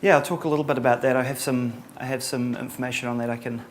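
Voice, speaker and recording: A man's voice: mid-range voice, fairly even intonation, fast talker. Kiwi guy presenting, middle-aged, laid back, lots of ums, dry jokes. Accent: New Zealand. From New Zealand. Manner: casual, many ums and uhs, dry humor. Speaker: conference presenter. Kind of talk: relaxed conference talk.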